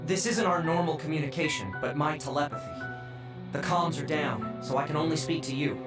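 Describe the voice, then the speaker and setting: A man's voice: fairly high pitch, fairly quick, natural voice. Echoey, distant young male operator played by an actor. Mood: urgent, steady. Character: young male telepathic support operator, steady and urgent. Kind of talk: video game cutscene voice acting. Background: music.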